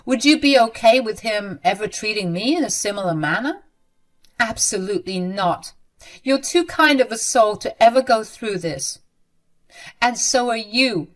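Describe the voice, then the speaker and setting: A woman's voice: fairly high pitch, animated, moderate pace, close to an everyday voice. A woman doing a fiery, protective young bride. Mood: indignant. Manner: emphatic and outraged.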